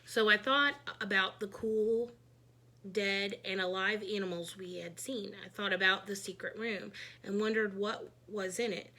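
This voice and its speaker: A woman reading a children's book aloud, narrating expressively in an animated, emphatic way. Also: mid-range voice, unhurried. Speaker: read-aloud storyteller.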